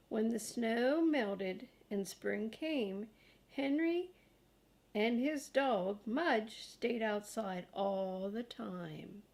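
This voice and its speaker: Slow-paced older woman reading a storybook. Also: a little high, gravelly, a little mellow. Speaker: grandmother reading aloud to children. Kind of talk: storybook read-aloud with casual asides.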